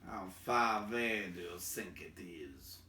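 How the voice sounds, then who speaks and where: A gruff old dwarf chief, acted out by a man reading aloud, low in voice and full of doubt. He grumbles deliberately, as if leading his band, in an echoey room of a storybook recording. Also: lively intonation, bright, forceful tone, a little hiss or hum, full character voice. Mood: doubtful. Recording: roomy echo, distant microphone.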